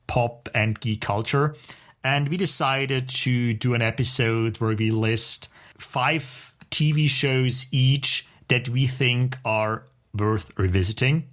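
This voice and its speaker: A man's voice: medium pitch, fairly bright tone. A man talking casually and enthusiastically as co-host of a podcast.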